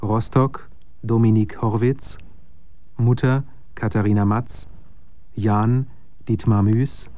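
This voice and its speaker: A man's voice: on the low side, steady tone, takes it slowly, long pauses, no echo. A man reading formal scripted radio credits as an announcer, in a dull, dry recording.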